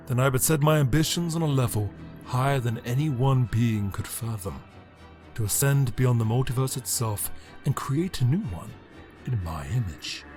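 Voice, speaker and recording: A man's voice: medium pitch, a little mellow, lightly acted. Man playing a brooding alien emperor over music. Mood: reflective, grave. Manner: brooding, grandiose, theatrical delivery with deliberate dramatic pauses. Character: bitter, power-hungry immortal male alien emperor, brooding and grandiose. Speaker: reader of an audio story. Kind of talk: dramatic scripted monologue, fan audio drama.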